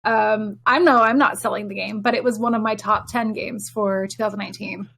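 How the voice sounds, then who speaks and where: A woman's voice: medium pitch, fairly even intonation, brisk, gravelly, fairly bright tone. A woman on a podcast panel chatting casually about video games. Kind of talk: casual chat.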